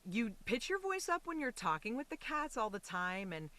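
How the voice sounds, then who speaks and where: A woman speaking as a voice actor and interview guest, chatting casually in an animated, rambling, reflective way. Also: a little high, moderate pace, a loud crowd.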